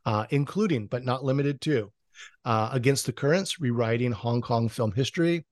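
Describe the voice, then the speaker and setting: A man hosting a podcast interview, introducing and questioning his guest with hesitant pauses between phrases. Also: medium pitch, no echo, clean studio sound.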